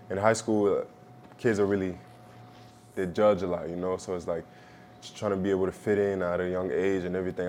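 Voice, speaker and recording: A young man from Belgium whose first language is French, answering interview questions in a relaxed, halting way with many pauses. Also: deep voice, a little hiss or hum. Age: young adult. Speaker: basketball prospect, interviewee.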